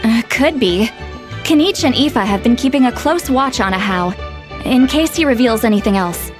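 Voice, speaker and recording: An actress as a haughty young female scholar from a video game. Her voice sits fairly high and she lays out her knowledge with crisp, articulate confidence. Music plays behind her. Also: lively intonation, normal speed, close to an everyday voice. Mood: measured, explanatory. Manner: articulate, self-assured, explanatory. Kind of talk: video game dialogue, expository storytelling.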